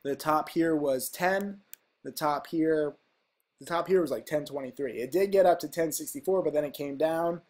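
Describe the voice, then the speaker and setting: A man's voice: a little high, moderate pace, darkish tone. Congested man rambling over stock charts. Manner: rambling, emphatic, fillers like "okay?". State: congested, stuffy nose. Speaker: stock trading educator. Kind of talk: casual screen-share chart walkthrough.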